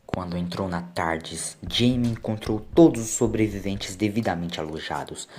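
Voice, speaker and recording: A man's voice: deep voice, moderate pace, a little mellow, a little hiss or hum. A man with a Brazilian accent giving a dramatic scripted narration of a science-fiction story.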